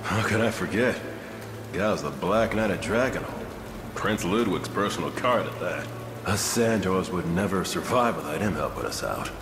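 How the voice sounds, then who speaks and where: An actor playing a roguish, loyal ex-bandit in a video game, with slangy, irreverent, wry delivery. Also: male voice, sits low, expressive, moderate pace, noisy background. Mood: earnest. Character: roguish ex-bandit mercenary man, cocky, loyal, plain-spoken. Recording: music behind the voice.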